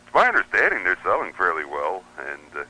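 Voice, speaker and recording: A man with a low, deep voice that carries a bright and forceful edge. He talks as a radio show guest, halting and restarting, with many fillers. The sound comes through as broadcast radio audio. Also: huge pitch swings, low-quality recording. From United States. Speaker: guest radio receiver reviewer. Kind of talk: casual interview chat.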